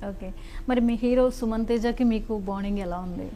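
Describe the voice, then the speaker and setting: A woman's voice: on the high side, moderate pace, dark tone, a little hiss or hum. A woman with a Telugu accent asking questions casually as a film interviewer.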